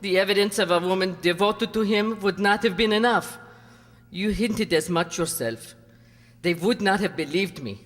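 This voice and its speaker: A woman's voice: normal speed, lightly acted. A woman playing a proud, devoted and cunning former actress in an amateur radio drama, with bitter composure. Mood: passionate, insistent. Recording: boomy hall reverb.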